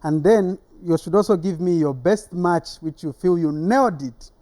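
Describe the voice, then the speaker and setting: A man's voice: high-pitched, normal speed, mellow, no room sound. A man hosting a sports show, asking questions in a conversational interview style.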